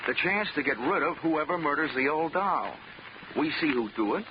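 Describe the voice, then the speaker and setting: A man's voice: a little high, lively intonation, moderate pace, noisy background, close to an everyday voice. A man playing a dry-witted radio detective. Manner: dry, wisecracking. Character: wry, unflappable male insurance investigator. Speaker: narrator and lead character. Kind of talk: 1940s radio drama narration. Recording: lo-fi 1940s radio recording.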